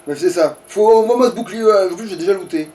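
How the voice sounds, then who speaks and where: A man's voice: high voice, fast talker, a little mellow. A man chatting casually and crudely as he plays through a game, often muttering. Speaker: gamer playing and commenting. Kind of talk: casual let's play chat. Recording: distant mic with background noise.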